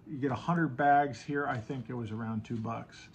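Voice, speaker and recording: A man's voice: mid-range voice, fairly even intonation, moderate pace. A man in his thirties giving a casual, conversational how-to talk to the camera in a small room at home. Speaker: video host, online seller. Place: small indoor home office with bookshelves. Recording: clear background room noise.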